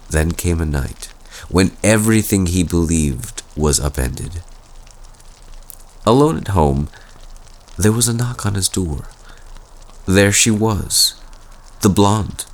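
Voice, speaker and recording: Man acting a deep-voiced student telling spooky tales. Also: heavily acted. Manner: slow, dramatic, suspenseful storytelling. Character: young male student Luke telling a spooky campfire vampire tale. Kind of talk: scripted horror storytelling in an audio roleplay.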